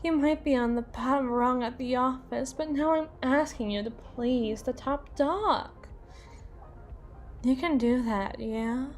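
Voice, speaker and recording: A high-voiced, haughty lady boss played by a voice actress, tipsy and melodramatic. She draws her words out slowly and theatrically in a flirty ASMR roleplay, with music playing behind her. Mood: challenging, flirty. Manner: theatrical, drawn-out, playful wordplay, slipping into breathy whispers. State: acting tipsy.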